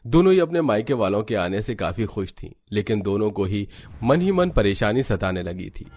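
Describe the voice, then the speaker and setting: Low-voiced man narrating a story. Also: sing-song, moderate pace, a little mellow.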